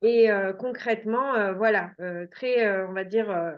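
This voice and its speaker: A woman coach interviewing and praising her client, speaking hesitantly with many pauses and restarts. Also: mid-range voice, muffled recording. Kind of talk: interview, giving feedback.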